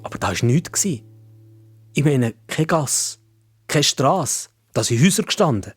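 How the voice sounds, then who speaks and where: A man's voice: medium pitch, sing-song, a little slow, husky, faint music behind. A man with a Swiss German accent narrating a children's story in the first person, in simple, conversational phrasing.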